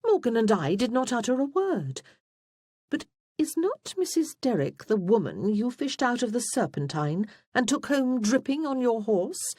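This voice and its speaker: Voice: medium pitch, very animated, moderate pace, a little mellow, no echo, studio quality, voice pushed up. A narrator voicing a haughty, outspoken aristocratic woman with a pointed manner in a period romance audiobook. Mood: haughty, teasing.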